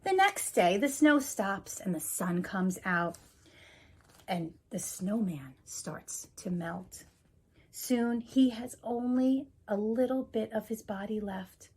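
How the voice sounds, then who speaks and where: A woman's voice: mid-range voice, animated, slow pace, a little mellow, husky. A woman hosting a children's storytime, talking casually and reading a picture book aloud. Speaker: children's museum staff storytime host.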